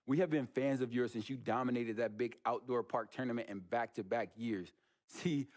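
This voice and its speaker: A man acting an earnest college basketball recruiter in a game cutscene. His medium-pitched voice delivers a polished, flattering, persuasive pitch. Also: lightly acted.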